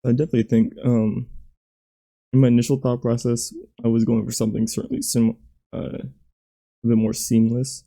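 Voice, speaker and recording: A man, hoarse from losing his voice, giving halting, self-critical commentary while playing through his own game level. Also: medium pitch, little pitch movement, warm tone. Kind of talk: gameplay commentary.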